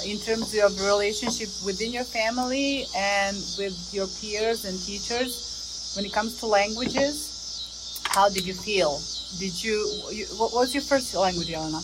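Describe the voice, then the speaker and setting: A woman's voice: mid-range voice, unhurried, very noisy. A woman interviewing guests, asking hesitant questions and backing them up with frequent "mm-hmm".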